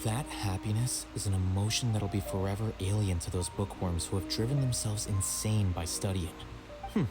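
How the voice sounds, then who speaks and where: A man voicing a calm, aloof young scholar in a video game, speaking formally with dry, measured deadpan. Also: lowish voice, music in the background, close to an everyday voice. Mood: aloof, composed.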